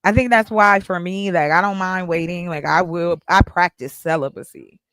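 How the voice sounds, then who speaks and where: A woman's voice: lowish voice, fairly even intonation, normal speed, a bit bright, no echo. A woman in her forties hosting a livestream group chat, blunt and playful, teasing the men. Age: 40s. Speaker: host.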